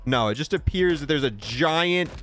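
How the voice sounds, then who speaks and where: A man with a slightly high voice gives casual commentary as the host of a game review video. He talks informally, thinking out loud as he tries each thing out. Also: expressive, moderate pace, soft background music. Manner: informal, thinking aloud as they test things.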